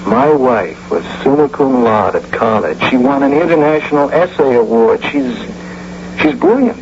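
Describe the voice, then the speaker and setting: Dull vintage radio: actor as a reluctant, upright man, hesitant and protesting, lively pitch. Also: moderate pace, close to an everyday voice. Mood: defensive. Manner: hesitant, stammering when flustered. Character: nervous, principled out-of-work friend, reluctant and protesting. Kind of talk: radio drama acting. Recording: dull, hissy, humming old radio broadcast.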